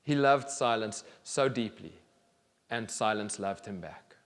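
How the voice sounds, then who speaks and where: A man in his thirties reads a children's story aloud on stage in a deliberate, expressive way with pauses. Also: lowish voice. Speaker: children's author reading aloud. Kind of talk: storybook reading to an audience. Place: large theatre stage, indoors. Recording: reverberant theatre hall.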